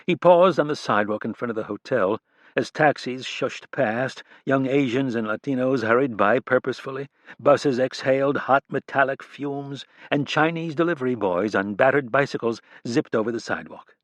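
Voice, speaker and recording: Man reading a thriller audiobook, steady and measured, very dry sound. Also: medium pitch, no echo. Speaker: audiobook narrator. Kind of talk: scripted narration.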